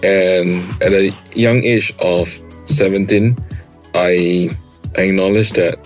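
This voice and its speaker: Singaporean man, middle-aged founder, lowish voice, earnest and measured, faint music bed. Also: steady tone. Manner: earnest, measured, with fillers and pauses. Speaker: company founder and CEO. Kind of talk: interview answers.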